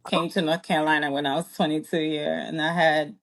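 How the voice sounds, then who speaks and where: Rambling woman from Jamaica, lower voice. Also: fairly even intonation, fairly quick. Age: early 40s. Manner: rambling, self-correcting, laughs often. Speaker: guest. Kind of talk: casual interview chat.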